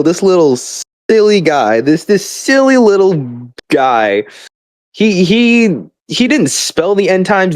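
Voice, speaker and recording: A man's voice: medium pitch, no echo. Profane young man on a rambling rant. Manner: profane, exasperated, hyperbolic; stammering repeated starts. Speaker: gamer.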